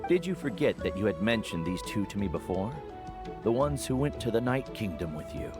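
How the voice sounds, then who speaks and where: A man playing a caring, measured older mentor guide in a video game. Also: fairly low pitch, very animated. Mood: concerned, gentle. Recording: game music clearly behind the voice.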